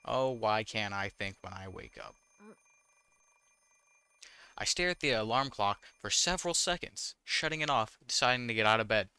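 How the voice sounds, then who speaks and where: A man's voice: fairly low pitch, expressive, normal speed, sharp tone, clear, resonant tone, dry recording. A man reading visual novel text aloud with casual, wry commentary, sometimes stumbling over the words. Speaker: gaming YouTuber, host.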